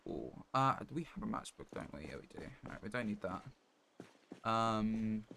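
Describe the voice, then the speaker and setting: A man's voice: on the low side, expressive, unhurried. A man live-streaming a game, thinking aloud in a casual, rambling and understated way. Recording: clear background noise, clicks.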